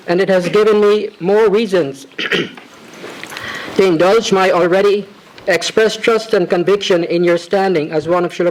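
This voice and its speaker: A man reading a formal devotional tribute letter to his spiritual teacher, measured and reverent, with ornate phrasing. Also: high voice, poor audio. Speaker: disciple reading a homage.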